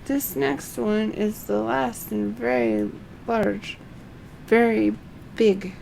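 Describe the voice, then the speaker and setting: A woman's voice: mid-range voice, slow pace, gravelly, a little mellow, a little hiss or hum, poor audio. A woman voicing an eager young kobold creature from a game, simple and curious, with repetitive, childlike phrasing.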